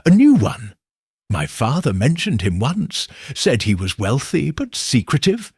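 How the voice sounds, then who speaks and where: A man's voice: mid-range voice, wildly swooping pitch, a little slow, darkish tone, breathy edge, no room sound, clean studio sound, full character voice. A man voicing a nervous, guarded young woman client, explaining herself hesitantly and earnestly in an audiobook mystery.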